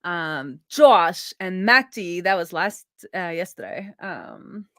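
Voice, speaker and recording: A woman's voice: lowish voice, expressive, takes it slowly, fairly bright tone, no room sound, clean studio sound. A middle-aged woman chatting casually on a coding livestream as its host, thinking aloud in an informal way. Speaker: live coding streamer and host.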